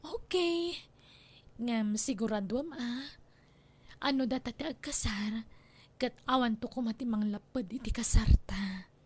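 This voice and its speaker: A woman acts out a young Ilocano wife in a radio drama, high in pitch. She is jealous and suspicious, pleading one moment and nagging the next. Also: measured pace, long pauses, darkish tone, slightly rough. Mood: eager. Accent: Filipino, Ilocano.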